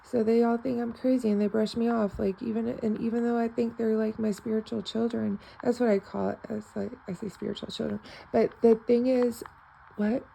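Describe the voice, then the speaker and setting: A woman's voice: on the high side, flat delivery, normal speed, gravelly, dark tone, a little hiss or hum, poor audio. A woman rambling casually in a spiritual vlog, repeating herself and restarting often, speaking German with an American accent. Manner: switches between English and German. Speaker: self-described novice clairvoyant.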